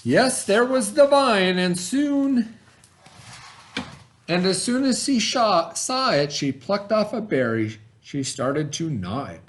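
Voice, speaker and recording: A man with a fairly high voice reads a rhyming children's story aloud. He takes his time with dramatic pauses, and his pitch rises and falls widely in a theatrical way. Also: sing-song. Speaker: storybook reader.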